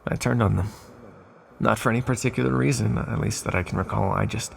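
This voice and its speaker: A man playing an ancient accursed living weapon in an ASMR roleplay, a calm, formal villain who is menacing yet tender and confides in hesitant, measured phrases. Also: on the low side, gravelly. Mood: confiding, grave.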